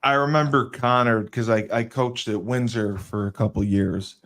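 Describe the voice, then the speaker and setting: A man's voice: mid-range voice, fairly even intonation, moderate pace, a bit bright. A man hosting a casual sports podcast, joking and boasting about himself, then laughing at his own coaching. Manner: jokey, boastful, self-deprecating. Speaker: podcast host.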